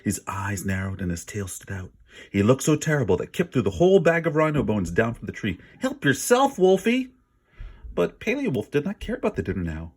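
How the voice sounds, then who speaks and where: A man's voice: deep voice, slightly rough. A man reading a children's story aloud with dramatic, emphatic word stress and an informal manner. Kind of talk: expressive read-aloud narration with casual asides.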